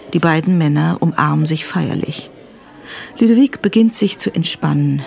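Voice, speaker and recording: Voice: medium pitch, creaky, darkish tone. A narrator reading a scripted radio thriller in the present tense.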